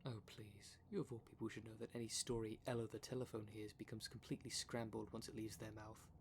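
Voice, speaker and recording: A man's voice: on the low side, little pitch movement, fast talker, creaky, background noise. A man playing a prim, defensive dream demon in a formal, fussy, easily exasperated manner for an ASMR roleplay drama. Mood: resigned. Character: prim, defensive dream demon, one half of split creature.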